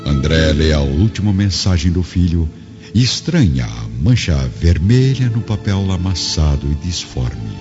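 A man's voice: sits low, sing-song, unhurried. A man narrating a radio drama from a script in a solemn, literary, dramatic style. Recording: dull lo-fi radio drama audio. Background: background music.

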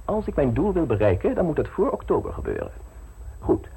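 Cool, smug blackmailer. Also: male voice, fairly high pitch, a little fast, vocal fry, a little hiss or hum, full character voice. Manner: calm and cutting. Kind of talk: radio drama acting.

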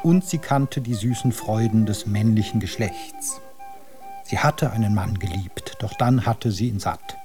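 An actor playing a storyteller, narrating an absurd fairy tale in a radio play with a wry, measured manner. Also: male voice, fairly low pitch, music in the background. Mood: wry storytelling.

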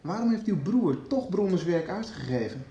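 An actor in a radio soap playing an earnest, stubborn young student, hesitant but insistent. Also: male voice, sits high, sing-song, normal speed, mellow, echoey room, highs cut off. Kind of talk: 1990s radio soap acting.

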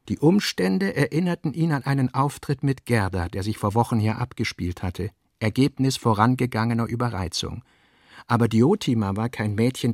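A man reading scripted literary narration for a radio play in a measured, articulate manner. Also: mid-range voice, no room sound, studio quality.

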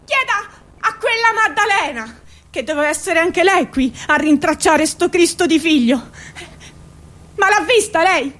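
High-voiced, exasperated mother acted by a woman. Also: animated, a little slow, fairly bright tone, full character voice. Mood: bitter, indignant. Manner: exasperated, repetitive, colloquial lamenting. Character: harried, aggrieved working-class mother searching for her son. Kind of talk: stage monologue.

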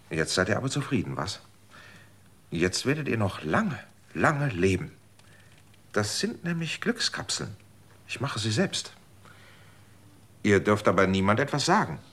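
A man's voice: sits low, a bit bright, slightly rough, full character voice. An actor playing a desperate, manipulative man in a radio drama, coaxing and then threatening. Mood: scheming. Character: desperate, manipulative man seeking longer life.

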